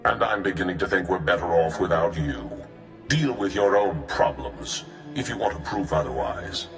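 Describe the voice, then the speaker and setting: Cold, disdainful cult commander, low and measured, condescending, echoing voice, for a video game. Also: male voice, lively intonation, vocal fry, fairly bright tone, exaggerated voice. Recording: echoing, reverberant voice over music.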